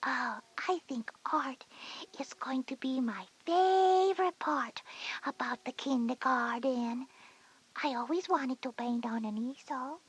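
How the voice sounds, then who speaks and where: A woman voicing a curious little kindergarten girl with a breathy, whispery voice in a children's educational audio drama. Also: high-pitched, slow pace, heavily acted, low-quality recording. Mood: wistful, curious. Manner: inquisitive, childlike.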